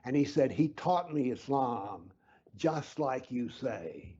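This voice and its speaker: A man being interviewed who explains his views earnestly and emphatically, sometimes dropping to a low whisper to stress a point. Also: animated, slow, mellow, husky, highs cut off. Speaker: interview guest, author.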